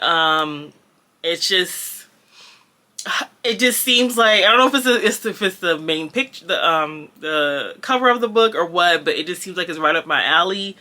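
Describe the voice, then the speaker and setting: Woman w a valley girl accent, super bright voice, chatty book vlogger, lots of ums and laughs. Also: lowish voice. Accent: American Valley girl. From Jamaica. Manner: chatty, rambling, with frequent fillers and laughs. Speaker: book reviewer. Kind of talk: casual chat.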